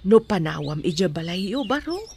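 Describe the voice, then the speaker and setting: A soft-spoken, careful mother in a radio drama, played by a woman. She talks gently, over a faint hiss in the background. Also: fairly low pitch, very animated, moderate pace. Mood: gentle. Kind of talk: amateur radio drama acting.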